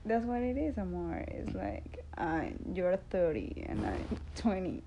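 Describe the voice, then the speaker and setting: A woman's voice: lowish voice, sing-song, a little slow, warm tone, slight background noise. A woman in her twenties co-hosting a casual podcast with her partner, giving short, giggly replies. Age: 20s. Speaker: podcast co-host. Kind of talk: casual couple chat.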